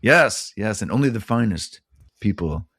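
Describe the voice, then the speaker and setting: A man's voice: lowish voice, animated, moderate pace, fairly bright tone, dry recording, studio quality. A middle-aged man hosting a podcast, chatting casually in a jokey, self-deprecating way. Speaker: podcast host. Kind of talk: casual chat.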